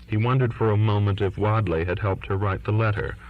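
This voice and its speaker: A man's voice: on the low side, fairly even intonation, brisk. A man reading literary fiction aloud as a scripted audiobook narration, in a lo-fi recording. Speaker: audiobook reader. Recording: dull lo-fi recording.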